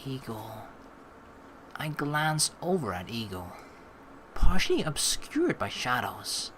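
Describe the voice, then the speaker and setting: A let's player reading game text aloud and giving casual, rambling, halting commentary as they think out loud. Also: mid-range voice, sing-song, a little hiss or hum.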